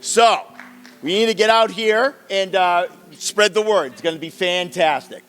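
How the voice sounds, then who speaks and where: A man with a high, bright voice gives folksy, off-the-cuff closing remarks to an audience. His delivery is very clear, carrying through a reverberant hall with faint music. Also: measured pace. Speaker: theater organization representative giving closing thanks. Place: theater hall at a stage performance.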